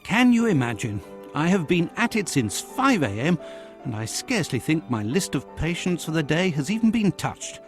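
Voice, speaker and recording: An actor playing a genial, busy Victorian physician in a video game, chatty and formal in an old-fashioned way. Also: male voice, on the high side, sing-song, moderate pace, soft background music. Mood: chatty, exasperated. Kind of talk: video game cutscene acting.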